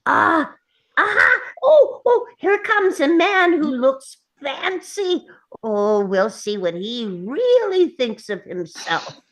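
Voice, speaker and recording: A woman voicing a tiny old male gnome scientist, vain, fussy and impatient, in an animated script reading. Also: medium pitch, slow, low-quality recording. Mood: excited, impatient. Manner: impatient, self-important, fussy. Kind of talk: animated table-read acting.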